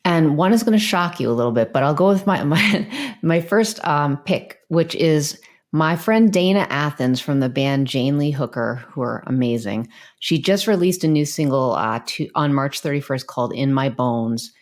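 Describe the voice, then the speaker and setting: A woman with a low voice, co-hosting a podcast. Her talk is casual, informal and expressive, full of reactions. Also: normal speed. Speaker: podcast co-host. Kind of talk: casual chat.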